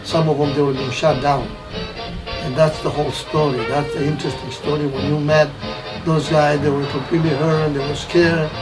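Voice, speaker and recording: A man in his seventies from Romania with a Romanian accent, recounting his wartime childhood in an interview in halting, repetitive English. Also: fairly high pitch, level tone, loud music behind the voice, poor audio. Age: 70s. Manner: halting, repeats phrases, broken English grammar. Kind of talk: personal wartime recollection interview.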